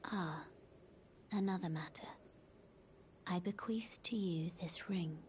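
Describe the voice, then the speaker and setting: An actress playing a mysterious maiden guide in a fantasy game, soft-spoken, formal and solemn, with a breathy, half-whispered delivery. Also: female voice, on the low side, slight background noise. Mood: calm, earnest. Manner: formal, measured, hushed. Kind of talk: scripted fantasy game dialogue.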